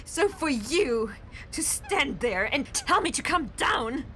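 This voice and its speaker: Woman acting high-pitched obsessive young woman, volatile, sardonic, radio drama, noisy background. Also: very animated, slow, sharp tone, exaggerated voice. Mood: hurt, bitter.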